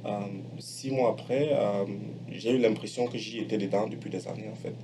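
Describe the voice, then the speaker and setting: Middle aged guy w a lowish voice telling his career story, halting and deliberate, pretty noisy recording. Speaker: entrepreneur, electronics technician by training. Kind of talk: personal testimonial, explaining his career. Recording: clearly noisy background ambience.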